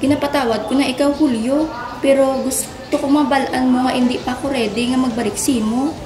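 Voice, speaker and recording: A woman's voice: high voice, fairly even intonation, brisk, lightly acted. A woman with a Western Visayan Filipino accent playing a hurt but firm young mother and wife in a radio drama. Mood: earnest, guarded. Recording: echoey room with music bed.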